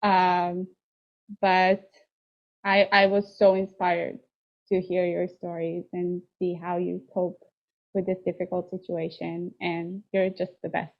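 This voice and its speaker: A woman's voice: fairly low pitch, flat delivery, slow pace. A woman with an Israeli accent, her first language Hebrew, hosting a podcast over a video call and speaking in an effusive way with hesitant restarts. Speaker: podcast host. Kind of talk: casual interview chat. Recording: dull lo-fi call audio.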